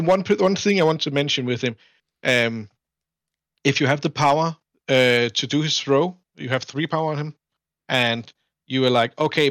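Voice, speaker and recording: Danish-accented man chatting, mid-pitched, dry sound. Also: fairly bright tone, no echo. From Denmark. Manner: halting, repeats words, checks with "right?". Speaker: podcast guest. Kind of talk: casual chat.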